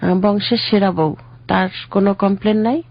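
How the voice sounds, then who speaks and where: A middle-aged woman with a Bangladeshi accent and a husky, creaky voice, telling her personal story as an interview guest. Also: mid-range voice, fairly even intonation, normal speed, muffled recording.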